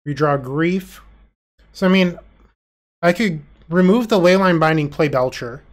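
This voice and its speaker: A man talks through an online card game in a relaxed, casual way, with a congested, nasal voice from a recent illness. He thinks aloud and pokes fun at his own play. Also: on the high side, a little slow. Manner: self-deprecating, thinking aloud. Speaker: gameplay commentator.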